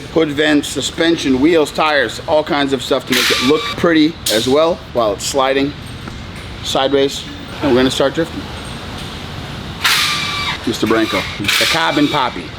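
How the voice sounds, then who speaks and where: A man's voice: mid-range voice, background noise. A man with a Boston accent hosting a casual vlog tour of his car customization shop, brash and profane. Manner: brash, swears freely, hyped. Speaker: shop owner and vlog host.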